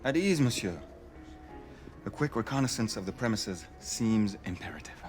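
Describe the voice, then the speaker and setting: An actor playing an unflappable French marquis in a game, courteous and wry, with a French accent. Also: male voice, lowish voice, expressive, moderate pace, soft background music, natural voice. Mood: suave, wry. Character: suave, unflappable French marquis, playful gentleman fighter. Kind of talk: cinematic game acting.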